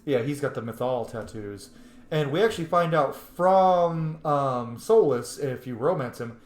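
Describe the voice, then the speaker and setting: A man's voice: medium pitch, lively intonation, unhurried. A man giving informal gameplay commentary, thinking aloud as he role-plays and sometimes swearing. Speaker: game streamer, role-player. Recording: roomy echo, faint background noise.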